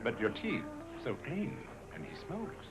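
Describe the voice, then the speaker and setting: An actor playing a formal, knowing, snooty restaurant maitre d' in a comic advertisement. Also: male voice, mid-range voice, huge pitch swings, measured pace, music in the background, rough recording.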